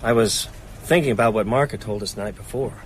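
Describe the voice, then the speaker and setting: An actor playing a grieving, haunted man in a horror audio drama, intense and halting under strain. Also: on the low side, slight background noise, lightly acted. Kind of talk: audio drama acting with first-person narration.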